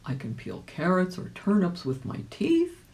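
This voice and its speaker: Kindly old gentleman rabbit, woman acting him out, mellow, lilting, polite and earnest, storybook character. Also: fairly low pitch, huge pitch swings, measured pace, vocal fry, voice pushed up, full character voice. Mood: polite, hopeful.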